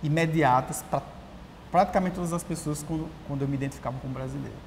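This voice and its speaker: A Brazilian man in his thirties talks as an interview guest, animated and prone to restarting his sentences. He sits in a large empty auditorium, miked on his lapel. The long hall reverb lingers behind him, with a faint hiss. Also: fairly high pitch, fast, creaky. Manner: animated storyteller, frequent restarts. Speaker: writer and journalist, guest. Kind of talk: casual interview talk. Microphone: lapel mic.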